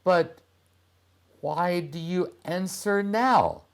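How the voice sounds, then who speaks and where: A man's voice: high-pitched, very slow, vocal fry, voice pushed up, full character voice. A man voicing a curious, easily annoyed young boy in a children's storybook read-aloud.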